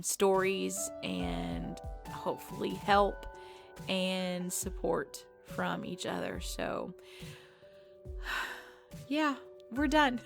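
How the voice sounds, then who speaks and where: A woman's voice: mid-range voice, vocal fry. A woman hosting her channel's subscriber Q&A, chatty, playful and self-deprecating. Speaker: ASMR channel host. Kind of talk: casual subscriber Q&A.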